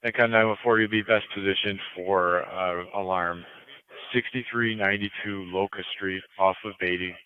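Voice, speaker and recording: A man's voice: low-pitched, fairly even intonation, normal speed, smooth, no room sound, narrow, tinny audio. A man working as an emergency dispatcher, speaking in clipped procedural phrases over a two-way radio scanner feed. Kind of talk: police and fire radio dispatch.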